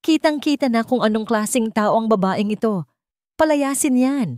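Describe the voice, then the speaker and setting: A woman's voice: fairly high pitch, moderate pace, darkish tone, no echo, clean studio sound, pitched above the performer's own voice, lightly acted. A woman voicing a furious, domineering wealthy old man, stern and scolding, in an audio drama.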